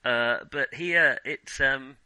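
A middle-aged man in a casual unscripted film discussion as a podcast co-host, often halting and correcting himself mid-thought. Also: mid-range voice, bright, forceful tone, clean tone, no room sound. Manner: halting, self-correcting, trailing restarts.

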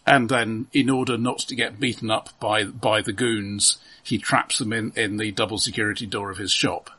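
A man co-hosting a film discussion podcast, explaining his points in a casual, measured, halting way. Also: fairly low pitch, fairly even intonation, bright, forceful tone, breathy edge. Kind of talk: casual film analysis chat.

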